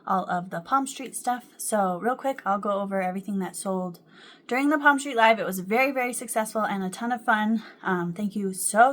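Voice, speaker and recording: A woman's voice: mid-range voice, fairly bright tone. A young adult woman chatting casually to camera at home about her crochet projects, with frequent ums and small laughs. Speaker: crochet vlogger.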